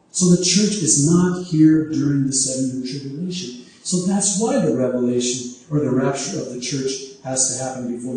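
A man preaching a sermon on prophecy whose first language is Arabic, speaking emphatically and at times rising to high exclamations. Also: mellow. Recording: echoey hall from far off.

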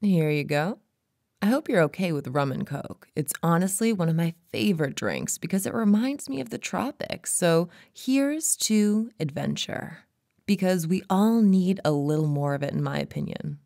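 A woman's voice: on the low side, animated, moderate pace, creaky, a little mellow, dry recording. A woman playing a seductive female hypnotist in an intimate roleplay, speaking in a soothing, coaxing way, often close to a whisper. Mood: friendly, coaxing. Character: seductive female hypnotist luring a lost traveler.

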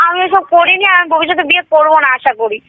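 A woman's voice: extremely high pitch, expressive, fast. A woman in a casual phone conversation, answering with curt, dismissive comebacks. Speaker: prank call recipient. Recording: dull, noisy phone line.

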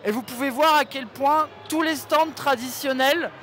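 A man's voice: extremely high pitch, moderate pace, fairly bright tone, faint music behind. A man in his twenties co-hosting a vlog with slangy, teasing chat into a handheld mic at a convention. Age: 20s. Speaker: vlog co-host. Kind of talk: casual joking chat. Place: large convention hall. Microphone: handheld microphone. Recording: faint convention noise behind.

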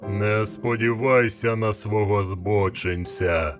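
Give this one to a menacing nightmare sorcerer. The voice is dry and hollow, fairly low, and drags each word out extremely slowly as it taunts, in a fantasy audiobook drama with music behind it. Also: male voice, steady tone. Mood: triumphant, taunting. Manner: very slow, drawn-out taunting delivery. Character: menacing all-knowing dark sorcerer, dream apparition.

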